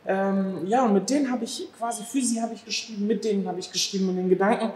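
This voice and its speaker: A man in his thirties, originally from Afghanistan, talking in a lively, animated way as an interview guest, acting out little scenes. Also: high-pitched, fast talker. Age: 30s. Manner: lively, animated, acts out dog owners' exclamations. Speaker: dog behavior therapist, guest. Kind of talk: casual interview talk. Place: large empty restaurant hall, indoors. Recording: echoey hall.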